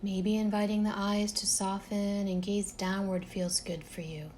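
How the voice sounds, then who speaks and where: A woman leading a guided relaxation meditation in a calm, gentle, unhurried manner, leaving long pauses between phrases. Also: mid-range voice, slight background noise.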